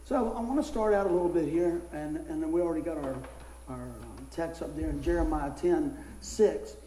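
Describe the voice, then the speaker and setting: Hoarse preacher guy, kinda high voice, folksy and animated, echoey church. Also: a little fast, a little hiss or hum. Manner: folksy, animated storytelling with rising pitch in anecdotes. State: hoarse, losing his voice. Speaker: pastor. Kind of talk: casual sermon.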